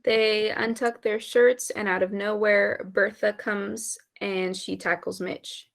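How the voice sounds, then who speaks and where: A woman's voice: mid-range voice, flat delivery, slow pace, gravelly. A woman giving scripted narration as the stage directions reader at a table read.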